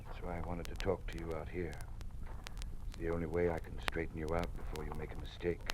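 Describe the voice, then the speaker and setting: Man playing elderly American dean, very low voice, fearful yet fatherly loyalist, formal 1950s radio drama, noisy vintage recording. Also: completely flat delivery, fast, close to an everyday voice. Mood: weary, confiding. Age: older. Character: old male college dean, fearful, resigned party loyalist.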